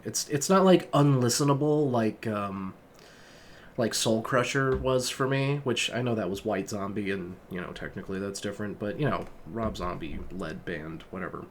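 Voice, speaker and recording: A man's voice: lowish voice, normal speed, a little hiss or hum. A young man with a sick, sniffly voice giving a casual, rambling spoken review of an album, full of fillers and restarts. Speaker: music vlogger.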